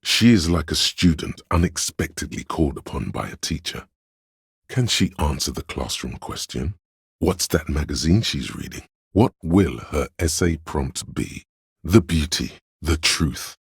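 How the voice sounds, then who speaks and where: Imagination's inner voice, video game narration, grandiose, opinionated, heavily rasping, dry close sound. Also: male voice, dry recording, full character voice. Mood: critical, disdainful. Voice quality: very deep, gravelly, husky. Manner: theatrical, deliberate, grandiose. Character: inner voice of artistic imagination, pompous, opinionated, coaxing critic. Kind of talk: dramatic second-person game narration.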